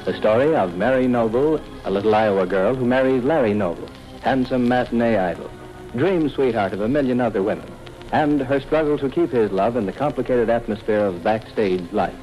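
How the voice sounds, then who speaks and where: A man's voice: mid-range voice, expressive, fairly quick, darkish tone, faint music behind, poor audio. A man announcing an old-time radio soap opera, reading a scripted introduction.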